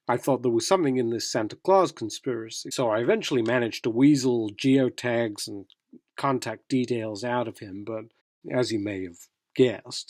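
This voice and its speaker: An actor playing an earnest, credulous young newspaper intern in a radio drama, talking in a casual, matter-of-fact, conspiratorial way. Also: male voice, mid-range voice, a little mellow, no room sound, clean studio sound, lightly acted. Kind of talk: radio drama acting, conversational interview answers.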